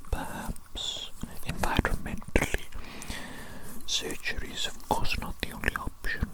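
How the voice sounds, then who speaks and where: A man's voice: close to an everyday voice, poor audio. Whispering mad evil doctor, acted by a man. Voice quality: breathy, fully unvoiced whisper. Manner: fussy, repeats words and phrases obsessively. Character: eccentric evil mad doctor tending his tiny creature. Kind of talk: whispered ASMR roleplay.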